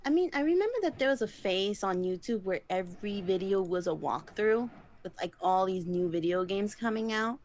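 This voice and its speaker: A woman's voice: medium pitch, lively intonation, normal speed, a little mellow. A woman co-hosting a podcast, chatting casually and peppering her talk with "like". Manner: conversational, frequent "like". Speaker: podcast co-host. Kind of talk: casual chat.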